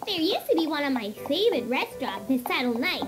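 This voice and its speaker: A woman's voice: high-pitched, sing-song, fast. A woman voicing a bubbly, childlike, earnest female cartoon pony in a fan fiction audio drama, perky and exclaiming. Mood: excited, chatty. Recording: music behind the voice.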